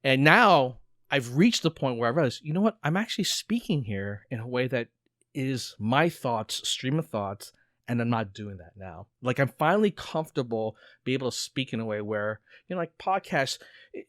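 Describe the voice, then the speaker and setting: A man's voice: mid-range voice, animated, moderate pace, fairly bright tone, dry recording. Middle-aged male podcast co-host, talkative, lots of "like" fillers, dry close sound. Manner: talkative, frequent "like" fillers, reflective. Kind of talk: casual conversational podcast.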